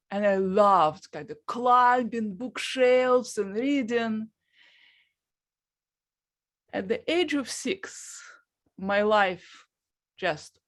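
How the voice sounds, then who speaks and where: Very slow, high-voiced Russian-accented woman. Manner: halting, deliberate. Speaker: guest speaker, hypnotherapist. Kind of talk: personal storytelling presentation.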